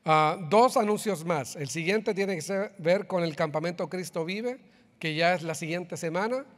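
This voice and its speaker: A man's voice: high voice, moderate pace. A man with a Chilean accent giving announcements to a congregation and leading them through a group reading. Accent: Chilean Spanish. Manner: upbeat, addresses congregation directly, leads group reading. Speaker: pastor giving church announcements. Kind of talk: church announcements. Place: church sanctuary. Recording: long hall reverb.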